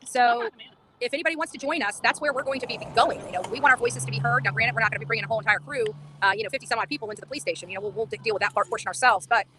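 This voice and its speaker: Fast, high-voiced woman on a street livestream. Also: background noise. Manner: insistent, repeats phrases for emphasis. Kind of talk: live street commentary, impassioned monologue. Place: outdoors on a residential street.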